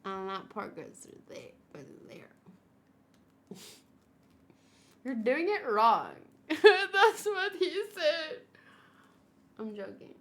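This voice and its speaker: A woman's voice: high-pitched, big pitch swings, extremely slow, long pauses, a bit bright. A woman streamer chatting casually and giggling as she unboxes and assembles a figure, answering her chat.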